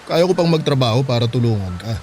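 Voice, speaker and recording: A man's voice: medium pitch, expressive, fast, a little mellow, a little hiss or hum, lightly acted. A man playing a hardworking middle-aged father in a Filipino radio drama, plain-spoken and reassuring, with a Filipino accent. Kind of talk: radio drama acting in Tagalog.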